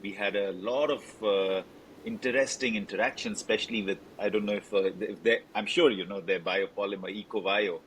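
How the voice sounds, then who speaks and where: Male host, very low voice, warm effusive interviewer; faint rumbling noise in the recording. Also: fairly even intonation, brisk, poor audio. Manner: friendly, effusive, with fillers. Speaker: podcast host. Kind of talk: interview hosting.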